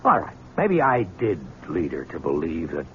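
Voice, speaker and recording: A defensive, evasive fellow with a lowish voice and lively swings in pitch. The delivery is seventies radio drama on a slightly dull recording. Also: male voice, moderate pace, a little hiss or hum. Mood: grudging. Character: evasive, defensive man under accusation. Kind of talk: 1970s radio drama acting.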